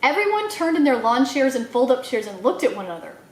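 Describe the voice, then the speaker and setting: A woman reading a children's novel aloud in an expressive storytelling style, acting out the dialogue as she goes. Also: sits high, quick pace, reverberant, poor audio. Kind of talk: animated read-aloud narration for children.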